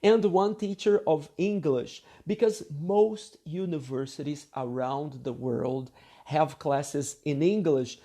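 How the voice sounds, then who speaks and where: Slow, mellow male teacher. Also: a little high. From Brazil. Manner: slow and deliberate, with frequent "okay?" and "uh" fillers. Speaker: university English teacher. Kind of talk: lecture reading and commenting on his article.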